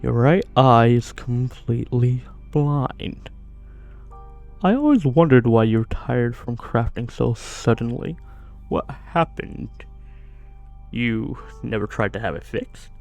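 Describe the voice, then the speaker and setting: Guy doing evil sorcerer asmr captor, silky n condescending, takes his time, soft threats, faint music behind. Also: mid-range voice, lively intonation, takes it slowly, vocal fry. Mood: soothing, curious. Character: menacing evil sorcerer warlord, falsely gentle, gloating captor. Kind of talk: fantasy ASMR roleplay acting.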